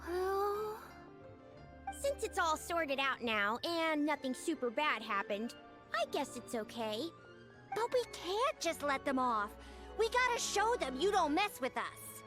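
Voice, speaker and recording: A woman's voice: high-pitched, very animated. A woman voicing a cheeky, bossy and playful little girl with a childlike voice in an anime game. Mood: pondering. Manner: exclaiming, drawn-out words. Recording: music behind the voice.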